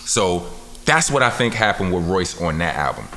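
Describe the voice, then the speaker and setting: A man's voice: big pitch swings, normal speed, bright, forceful tone, long reverb tail, a little hiss or hum. A man, a rapper telling stories in a casual studio interview. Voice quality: deep voice. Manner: laid-back, storytelling, repeats "you understand what I'm saying". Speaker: rapper, guest. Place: recording studio.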